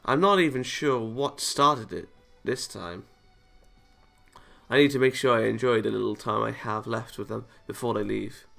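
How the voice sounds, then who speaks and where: A man's voice: mid-range voice, moderate pace, vocal fry, fairly bright tone, soft background music. A man playing a visual novel, reading the story aloud and adding casual reactions of his own. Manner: chuckles and comments between read lines. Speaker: video game let's player.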